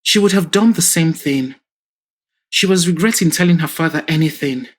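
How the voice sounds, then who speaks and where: A woman narrating an audio drama in a measured, even storytelling manner. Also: low voice.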